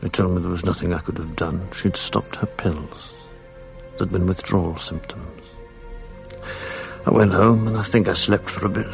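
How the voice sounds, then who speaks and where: Gravelly, low English husband, full of guilt. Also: male voice. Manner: educated, apologetic, self-reproaching. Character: guilt-ridden English husband, bitter and self-loathing. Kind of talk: radio drama acting, dialogue and first-person narration. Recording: 1980s radio drama with faint music.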